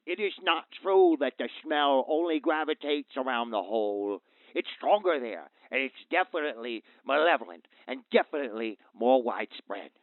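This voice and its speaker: An actor playing a worried, earnest father calling a radio show, speaking haltingly over a phone line. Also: medium pitch, animated, no room sound. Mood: uneasy, insistent. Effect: phone line filter. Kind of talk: audio drama phone-in acting. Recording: tinny, narrow phone-like line.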